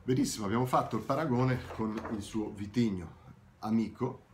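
A man in his thirties with a very low voice gives a wine tasting lesson straight to a close camera at home. He talks in an animated, explanatory way and corrects himself as he goes. A faint room hiss sits under his voice. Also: moderate pace. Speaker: wine educator. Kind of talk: video tutorial on wine tasting.

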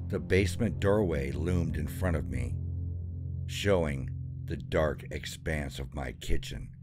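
A man with an extremely deep voice narrates a first-person horror story. He speaks very slowly and deliberately, in a measured, ominous way. Clear music plays beneath the voice-over.

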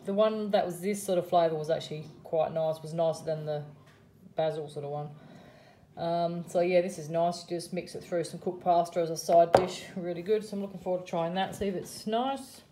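A woman's voice: fairly low pitch, steady tone, fairly quick, background noise. A middle-aged Australian woman chatting casually through her grocery haul, with frequent ums and price comments. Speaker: vlogger showing a grocery haul. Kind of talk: casual chat. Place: home kitchen or dining room. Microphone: phone camera held over a table.

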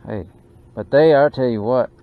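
A man giving excited running commentary while fishing from a small boat on a lake, recorded on a boat-mounted action camera. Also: medium pitch, normal speed. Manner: excited, drawling exclamations and mutters to the fish. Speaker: fishing channel host. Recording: dull action-camera audio with faint noise.

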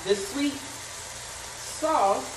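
A woman's voice: sits high, drawn-out words. A woman chatting casually while she cooks in her home kitchen, thinking aloud and reading recipe steps. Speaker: cooking vlogger. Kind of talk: casual cooking vlog chat. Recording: echoey kitchen, mic at a distance, frying noise. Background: sizzling and stirring in a pan.

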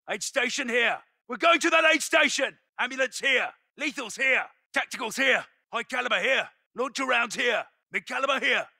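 An actor playing a tough, focused British special forces soldier in a military video game, giving clipped, commanding combat callouts. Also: male voice, very high voice, creaky, pushed and bright, no echo, clean studio sound, heavily acted. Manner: clipped, commanding, terse radio-style callouts.

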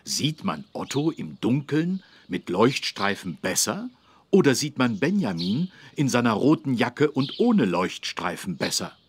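A man's voice: medium pitch, big pitch swings, unhurried, slightly rough. An actor playing a friendly policeman who patiently explains things to children in a kids' game. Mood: patient, inviting. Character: friendly adult male policeman explaining road safety. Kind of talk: scripted children's game dialogue. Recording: street traffic noise behind. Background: city street traffic.

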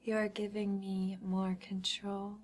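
A woman performing a whispered ASMR hypnosis role-play as a smug, controlling schoolgirl, with deliberate, commanding phrasing. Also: medium pitch, monotone.

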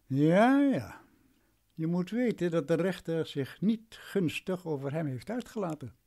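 Radio play role: condescending, domineering male lawyer, highish voice with very animated intonation. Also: measured pace, vocal fry. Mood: imperious. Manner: patronising, imperious.

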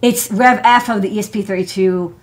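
A woman's voice: mid-range voice, steady tone, a little fast. An American woman, thirties or forties, hosting a casual live hardware show-and-tell in a rambling, chatty way full of "like" and "you know". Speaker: host, electronics engineer. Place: indoor desk workspace.

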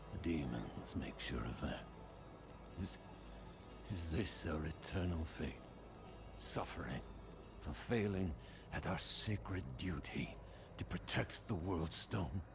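An actor playing the tormented ghost of a barbarian warrior in a fantasy game, gravelly, halting and mournful. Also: male voice, rumbling low, full character voice. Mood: weary, mournful. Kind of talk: fantasy video game voice acting. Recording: game music behind the voice.

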